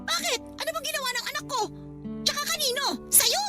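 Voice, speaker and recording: A woman's voice: extremely high pitch, fast, music in the background. A woman playing a stern, dismissive middle-aged aunt who scolds and belittles in a Tagalog radio drama. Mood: suspicious, startled.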